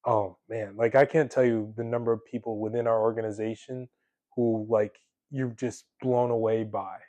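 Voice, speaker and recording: A man's voice: on the low side, fairly even intonation, moderate pace, mellow, dry recording, dull audio. Young male podcast host, conversational, dry close sound, filler-heavy delivery. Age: 20s. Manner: chatty, many fillers, laughs easily. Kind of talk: casual conversation.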